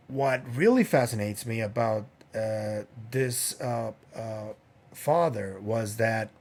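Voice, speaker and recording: Unhurried male podcast host. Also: mid-range voice. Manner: unhurried, many fillers. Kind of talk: casual solo commentary.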